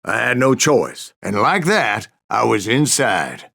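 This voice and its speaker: A grizzled old gunslinger from a Western game, spinning tall tales in a salty American drawl. The voice is gravelly and forward, with a hard bright edge. It rises and dips with wry, boastful storytelling. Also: male voice, medium pitch, very animated, slow, exaggerated voice. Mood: wry, gruff. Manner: folksy, sardonic, salty. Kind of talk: dramatic first-person storytelling.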